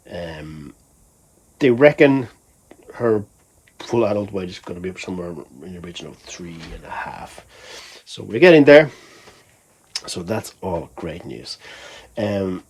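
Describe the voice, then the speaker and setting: Male vlogger from Finland, lowish voice, unscripted and conversational, wandering through his thoughts as he speaks. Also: very animated, slow pace, pauses often, a little mellow, husky, poor audio. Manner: rambling, conversational, thinking aloud. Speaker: musician vlogger. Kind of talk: casual vlog talk.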